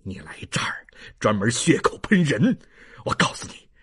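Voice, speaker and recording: Man as a husky, indignant young nobleman. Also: medium pitch, huge pitch swings, moderate pace, whispered, pitched below the performer's own voice, exaggerated voice. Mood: furious, scornful. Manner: forceful, emphatic, declamatory. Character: indignant, passionate young nobleman denouncing a villain. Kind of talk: audiobook character acting.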